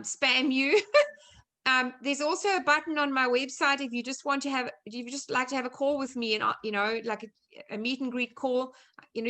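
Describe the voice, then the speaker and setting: A woman's voice: high voice, bright, forceful tone. A woman with a South African accent chatting informally and reassuringly as a guest nutrition coach on a video call. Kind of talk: casual online presentation and chat.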